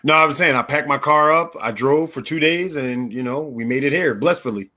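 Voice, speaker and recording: A man in his thirties from Philadelphia, telling his life story in a relaxed, chatty way as an interview guest. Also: fairly high pitch, fairly quick. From Philadelphia, USA. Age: 30s. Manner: relaxed, chatty, with fillers and laughs. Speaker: interview guest, actor and performer.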